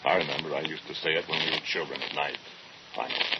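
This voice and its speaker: A man's voice: a bass voice, flat delivery, fast. A man playing a cold, arrogant middle-aged scientist in an old radio drama, lecturing and dismissive. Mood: evasive. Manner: pompous, lecturing, clipped dismissals. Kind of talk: mid-century radio drama acting. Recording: dull, noisy old radio recording.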